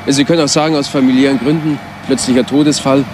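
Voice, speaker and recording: A courteous detective sidekick in a crime radio play , played by a man. He talks quickly in a polite, businesslike manner, with clear traffic-like noise in the background. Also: mid-range voice, fairly even intonation, natural voice.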